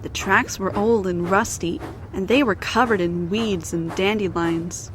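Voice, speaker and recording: A woman's voice: medium pitch, a little slow, noisy background. A woman narrator reading a scripted children's story in storybook style.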